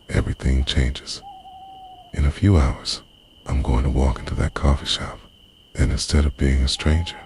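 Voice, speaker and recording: A man narrates a scripted romantic ASMR roleplay in a hushed, breathy, confessional voice that often slips into a whisper. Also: a bass voice, slight background noise.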